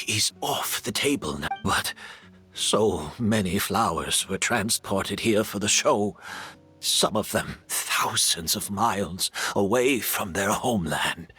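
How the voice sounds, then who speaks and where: A slow, soft-spoken resigned mentor. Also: male voice, fairly low pitch, exaggerated voice. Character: gentle aging scholar, a teacher facing death. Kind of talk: game dialogue.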